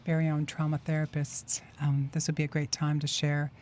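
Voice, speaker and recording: A woman co-hosts a podcast in a chatty, easygoing way, her voice slightly low for a woman. She talks casually and laughs easily. Faint noise sits behind her. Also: completely flat delivery, brisk, darkish tone. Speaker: podcast co-host.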